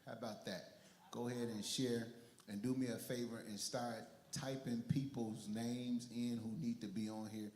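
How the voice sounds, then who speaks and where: A man hosting a church community talk before a studio audience, energetic and rallying online viewers and the crowd. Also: medium pitch, normal speed. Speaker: church livestream host. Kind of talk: hyped livestream hosting.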